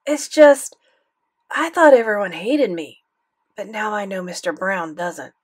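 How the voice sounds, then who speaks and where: A defensive preteen boy mumbles evasive answers, as a woman reader gives him voice. He sounds sulky and self-pitying, quick to excuse himself. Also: medium pitch, animated.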